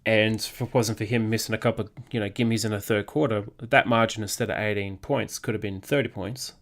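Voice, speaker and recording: A man's voice: fairly low pitch, fairly even intonation, a little fast. A man hosting a sports podcast, giving opinionated, emphatic analysis. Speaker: podcast host.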